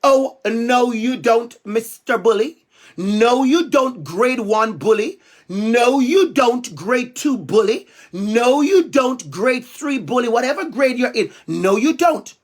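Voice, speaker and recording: A man's voice: extremely high pitch, animated, takes it slowly. A man with a Jamaican accent, from Jamaica, giving a playful, emphatic talk to young students as a school guest speaker. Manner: playful, emphatic, calls out to the kids.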